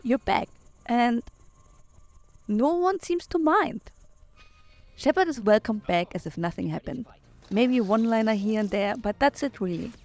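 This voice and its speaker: A woman's voice: on the high side, darkish tone. A woman giving thoughtful, critical commentary over a video game. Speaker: gaming commentator. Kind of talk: recorded gameplay commentary.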